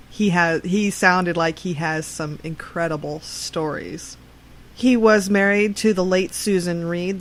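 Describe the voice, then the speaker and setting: A woman radio host reading an obituary in an informal news style, measured, with emphatic repetition of words. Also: on the low side.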